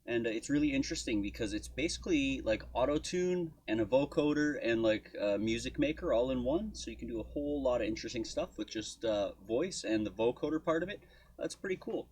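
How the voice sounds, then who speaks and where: A man giving an informal software walkthrough as a tutorial host, thinking aloud as he goes. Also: mid-range voice, expressive, brisk, husky. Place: indoor desk at home studio.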